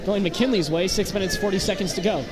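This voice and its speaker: A man doing live play-by-play commentary of a high school basketball game in short, clipped calls. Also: quick pace. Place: indoor high school gymnasium. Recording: loud gym crowd and court noise. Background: crowd, bouncing basketballs.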